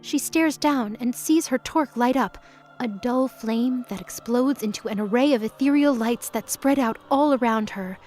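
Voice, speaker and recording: A woman's voice: fairly high pitch, normal speed, a little mellow, faint music behind. A woman narrating a scripted audio drama with intense, vivid storytelling.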